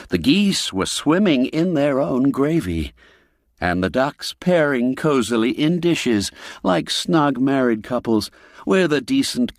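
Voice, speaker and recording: Slow, lively-voiced male storyteller. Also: medium pitch, dry recording, studio quality. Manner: measured, expressive storytelling. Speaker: narrator. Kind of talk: scripted storybook narration.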